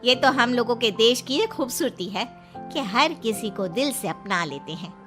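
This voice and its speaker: An affectionate neighbour aunty in a cartoon story played by a woman, fairly high and very expressive. Music is clearly heard behind her kindly talk. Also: moderate pace. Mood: warm, sincere. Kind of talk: Hindi cartoon story dialogue.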